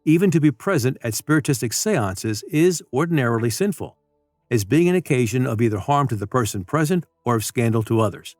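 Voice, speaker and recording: A man's voice: medium pitch, animated, a little fast, a little mellow, no echo, clean studio sound, soft background music. A man reading a religious apologetics audiobook as scripted narration, with a formal, solemn manner. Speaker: audiobook reader.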